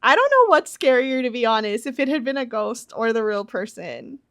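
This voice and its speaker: A woman with a fairly high, very lively voice chats casually as a podcast co-host. Her pitch moves widely as she reacts. The recording is dry and free of room sound. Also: fairly quick, no room sound. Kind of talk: casual chat, reacting to a story.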